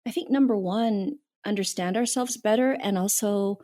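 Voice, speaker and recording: Woman podcast host, mid-pitched, affirming, conversational interviewer. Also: moderate pace. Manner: warm, affirming questions with light laughs.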